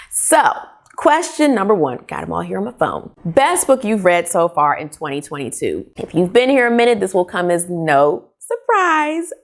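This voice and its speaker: A woman chatting casually to camera as a book reviewer, expressive and emphatic in a conversational way. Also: lowish voice, vocal fry. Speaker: book vlogger, host. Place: small furnished living room, indoors.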